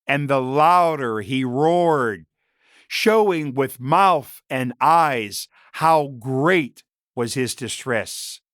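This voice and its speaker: Slow, expressive male storyteller. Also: mid-range voice, dry recording, clean studio sound. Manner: measured, expressive reading. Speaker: narrator reading a story. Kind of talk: scripted storytelling narration.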